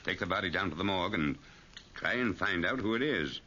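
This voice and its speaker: An actor playing a gruff, commanding police chief who speaks brusquely, in 1940s radio drama style. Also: male voice, deep voice, animated, brisk, sharp tone, exaggerated voice. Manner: brusque, barking orders. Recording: muffled, hissy 1940s radio recording.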